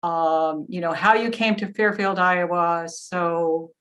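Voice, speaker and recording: A woman's voice: on the low side, slow, a bit bright. A woman hosting a podcast, asking questions in a chatty way with lots of fillers. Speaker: podcast host. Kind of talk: casual interview.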